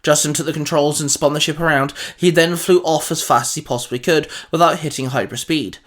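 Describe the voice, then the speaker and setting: A man's voice: a little high, fairly even intonation, fairly quick, a bit bright. A man reading a scripted adventure story as its narrator, in a dramatic storytelling style.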